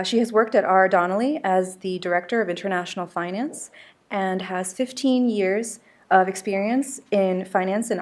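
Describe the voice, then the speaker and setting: A young woman reads a speaker's introduction at a podium. Her delivery is careful and formal, the measured pace of someone reading from notes. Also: mid-range voice. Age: young adult. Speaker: student host introducing the speaker. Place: conference hall, at a podium. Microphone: podium microphone.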